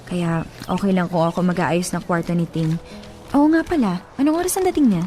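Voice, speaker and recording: Woman acting a devoted, insecure filipina girlfriend in radio drama, soft dark tone, faint music under. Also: lowish voice, animated, a little fast, lightly acted. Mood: softened, affectionate. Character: earnest, insecure adult girlfriend, devoted and wanting reassurance. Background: soft background music.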